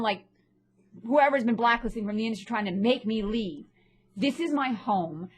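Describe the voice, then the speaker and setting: Blunt, husky-voiced woman ranting. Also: mid-range voice. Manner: blunt, indignant and confrontational. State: stuffy from a sinus infection. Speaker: vlogger and actress. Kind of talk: ranting personal vlog monologue.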